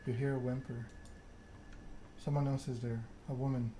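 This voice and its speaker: A man's voice: medium pitch, level tone, moderate pace. Evenly spoken man narrating, ambient noise behind. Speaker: streamer reading a game aloud. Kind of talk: ASMR narration of a story game. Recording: clear background ambience noise.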